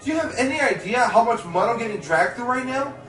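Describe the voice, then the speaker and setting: A man playing an eager, excitable young pony reporter in a radio play, speaking expressively. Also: extremely high pitch, fast talker. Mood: indignant. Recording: roomy distant sound with faint music.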